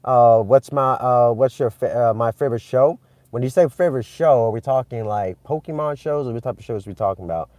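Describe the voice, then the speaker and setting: Young man, from Vietnam, casual live stream host, excitable, rambling, mid pitch, dry close phone sound. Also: brisk, no echo. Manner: excitable, rambling, many restarts and fillers.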